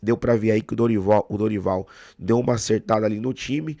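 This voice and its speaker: A Brazilian man hosting a football fan channel, hoarse with a cold and sore throat, giving his opinionated match analysis straight to the viewer. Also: on the low side, fairly even intonation, a little fast, dark tone, dry recording, clean studio sound. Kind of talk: casual match analysis to camera.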